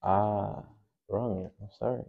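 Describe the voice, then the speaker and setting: A man's voice: on the low side, steady tone, slow pace, creaky, slightly rough, narrow, tinny audio. A young man from Nigeria chatting casually while he paints in a small home studio, often mumbling to himself as he works. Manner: laid-back, mumbles to himself while working. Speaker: painter and host.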